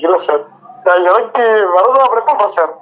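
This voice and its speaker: High-voiced eager young man. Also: animated, normal speed, slight background noise. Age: 20s. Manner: deferential, eager. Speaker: aspiring actor being prank called. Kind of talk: phone audition, casual answers. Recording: muffled, radio-like phone line.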